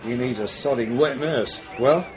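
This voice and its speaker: A man's voice: mid-range voice, moderate pace, lightly acted. A man playing a middle-aged, cynical, wisecracking chief inspector in a naturalistic radio drama, with a blunt, bantering manner. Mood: weary grumbling. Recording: dull 1980s radio drama recording, music.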